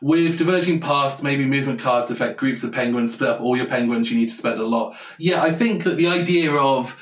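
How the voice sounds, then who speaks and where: A man's voice: medium pitch, brisk. A man thinking aloud on a live stream while designing a board game, eager and rambling as he answers chat. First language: English. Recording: roomy, distant from the mic.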